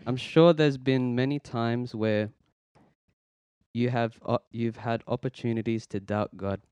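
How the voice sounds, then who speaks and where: A man, hosting a casual faith podcast in an earnest, halting way with many restarts. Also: mid-range voice, steady tone, a little mellow, clean tone, dry recording. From Philippines, raised in Australia. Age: 20s. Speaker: podcast host. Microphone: podcast microphone.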